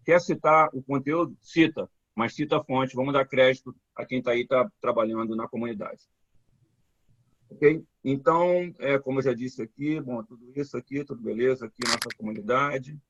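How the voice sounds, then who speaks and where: A man's voice: mid-range voice, normal speed, a little hiss or hum. A man hosting an online community meetup on a video call, stumbling over repeated words and checking in with "tá?". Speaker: community event host. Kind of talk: live online meetup talk. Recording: dull, dry call audio.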